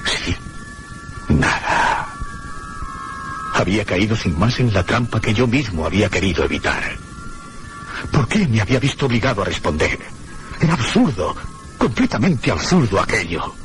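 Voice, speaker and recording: A man acting a frightened narrator in a suspense radio play, telling his haunting in a deliberate, literary way. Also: lowish voice, sing-song, fairly bright tone, soft background music. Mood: uneasy, anxious. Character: fearful man narrating a ghostly haunting at night. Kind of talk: dramatic first-person horror radio play narration.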